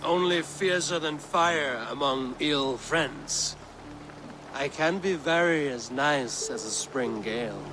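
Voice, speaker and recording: An actor playing a bold, blunt, hard-drinking Norse warrior in a video game, boastful and declaiming. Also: male voice, on the high side, takes it slowly, noisy background. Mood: teasing. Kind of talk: dramatic video game acting.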